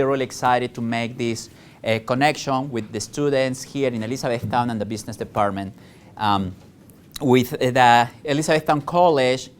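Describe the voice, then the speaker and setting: A man's voice: mid-range voice, slight background noise. A man in his forties from Peru with a Peruvian Spanish accent, a native Spanish speaker giving a conversational lecture to college students as a chamber of commerce director, with many fillers and restarts.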